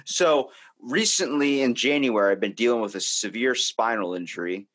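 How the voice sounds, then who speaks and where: A man with a Baltimore accent chatting casually on a podcast, telling animated stories and acting out quotes. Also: mid-range voice, moderate pace. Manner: animated storyteller, acts out quotes.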